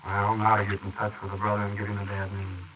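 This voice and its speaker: A man playing a brisk, curt police detective sergeant who is clipped and impatient, in 1940s radio acting. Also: lowish voice, monotone, lightly acted. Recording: hissy lo-fi 1940s wire recording.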